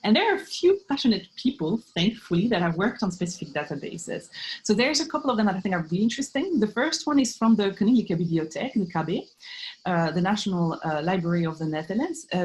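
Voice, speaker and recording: A woman's voice: medium pitch, expressive, a little fast, a little mellow, poor audio. A woman giving thoughtful, explanatory answers in an interview as an academic expert. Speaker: professor of art and literature history, interviewee.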